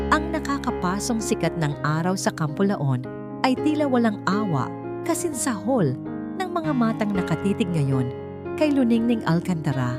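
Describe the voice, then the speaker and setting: A woman narrating a scripted story in a melodramatic, deliberate way over music. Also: mid-range voice. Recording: music bed under the voice.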